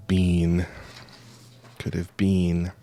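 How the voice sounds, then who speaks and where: A man's voice: low-pitched, warm tone. A man co-hosting a casual live-streamed show, joking and laughing often as he talks.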